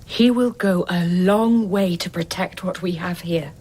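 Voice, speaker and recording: A woman's voice: lowish voice, measured pace, darkish tone. An actress playing a dutiful, guarded wife who earnestly believes in her society, in an audio drama. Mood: earnest conviction. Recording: clear background noise.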